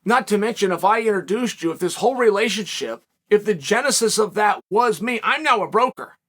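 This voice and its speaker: A man in his forties hosting a solo commentary show, speculating and telling stories in an animated, emphatic way from a dark studio set. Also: high voice.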